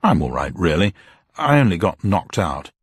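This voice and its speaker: A man's voice: sits low, very animated, normal speed, husky, no room sound, clean studio sound, heavily acted. A man playing an awkward, dazed amnesiac detective in a radio drama reading. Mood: reassuring. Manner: awkward, hesitant.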